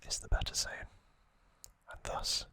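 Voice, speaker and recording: A man hosting ASMR in a breathy whisper, gently recapping trivia in short, halting phrases. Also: dry recording. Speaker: ASMR host.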